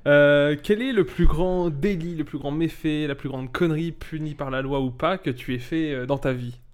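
A man hosting a podcast in a casual, joking way, tossing in quick asides. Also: on the high side. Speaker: podcast host. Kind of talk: casual chat.

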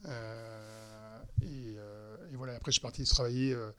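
A man's voice: lowish voice, fairly even intonation, measured pace, fairly bright tone. A man in his thirties from France, an entrepreneur guest talking through his habits in a casual podcast interview, with many fillers and restarts. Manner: hesitant, full of fillers and restarts.

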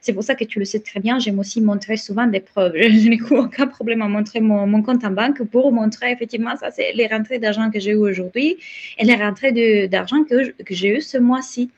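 Italian-accented woman, slow and halting. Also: fairly high pitch, darkish tone, highs cut off. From Italy. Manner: slow, halting, with many restarts. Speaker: business and life coach, guest. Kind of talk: casual interview talk.

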